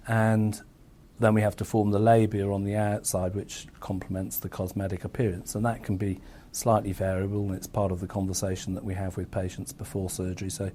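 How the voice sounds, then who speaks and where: Mellow low-voiced surgeon explaining calmly. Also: male voice, slight background noise. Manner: measured, clinical, matter-of-fact. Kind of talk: explaining a medical procedure.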